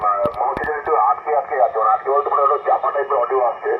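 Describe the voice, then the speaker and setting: A man in a casual, hesitant ham radio exchange, pausing often as he talks. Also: medium pitch. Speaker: amateur radio operator. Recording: noisy, narrow-band shortwave radio link.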